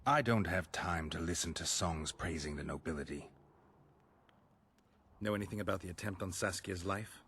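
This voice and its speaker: A world-weary monster hunter from a fantasy video game, with a very low male voice. He is blunt and dry, asking terse questions over faint background noise. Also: moderate pace, pauses often, a bit bright. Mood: dry, challenging. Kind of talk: video game dialogue acting.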